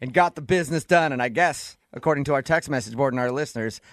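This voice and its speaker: Male radio host, rapid-fire talker, jokey and teasing, casual banter-and-interview style. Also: medium pitch, no echo, clean studio sound.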